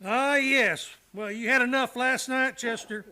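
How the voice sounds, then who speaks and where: An actor playing a needling, reproving Old West doctor in an old-time radio western. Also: male voice, very high voice, fairly even intonation, a little slow, pushed and bright, heavily acted. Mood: chiding. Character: chiding frontier doctor, male, in a saloon.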